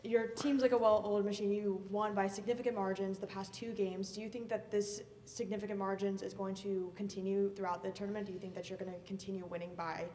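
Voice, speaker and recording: A woman's voice: medium pitch, little pitch movement, quick pace, hardly any pauses, a little mellow, a little hiss or hum. A woman sports reporter asking a chatty press conference question that she rephrases. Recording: reverberant press room.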